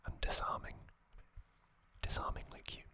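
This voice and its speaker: A man whispering a calm trivia recap for an ASMR video close to the microphone, with soft ums and casual asides. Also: normal speed. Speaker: ASMR channel host.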